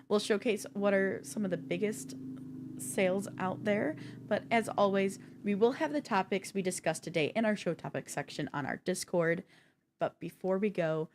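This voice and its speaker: A woman presents gaming news as one of the hosts of a show. Her delivery is casual and conversational, moving between prepared notes and loose chat. She speaks directly to the audience in a mid-pitched voice.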